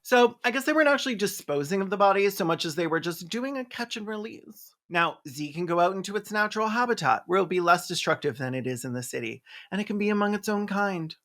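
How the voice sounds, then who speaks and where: A mid-pitched video essayist recaps a movie for comedy. The delivery is sardonic and theatrical, with playful mock seriousness. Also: animated, quick pace, hardly any pauses, a bit bright. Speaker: video essayist and film reviewer. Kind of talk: sarcastic comedic recap commentary.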